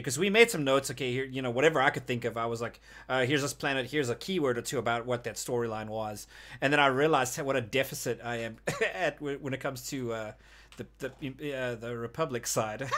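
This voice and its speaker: A man's voice: mid-range voice, fairly quick, fairly bright tone. A man co-hosting a gaming podcast in casual, rambling chat, hesitating as he thinks things through. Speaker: podcast co-host discussing a video game.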